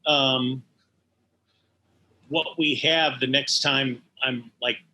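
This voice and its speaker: A middle-aged or older man, an animator being interviewed, explaining things in a measured, hesitant way. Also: medium pitch, steady tone, sharp tone, poor audio. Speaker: animator guest. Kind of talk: reflective interview conversation.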